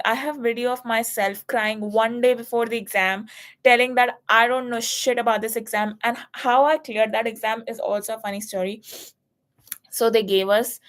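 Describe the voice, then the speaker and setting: A young woman in her twenties from India, chatting casually and telling an animated story about passing an exam, swearing now and then. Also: a little high. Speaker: student. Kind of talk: casual chat.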